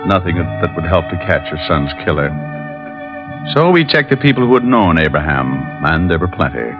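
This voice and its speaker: An actor playing a laconic, hard-boiled detective, dry and terse, in an old radio crime drama. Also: male voice, low voice, big pitch swings, moderate pace, over music. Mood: matter-of-fact. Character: laconic hard-boiled male detective narrating his case. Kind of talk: 1940s-50s radio detective narration and dialogue. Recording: dull, band-limited old radio recording.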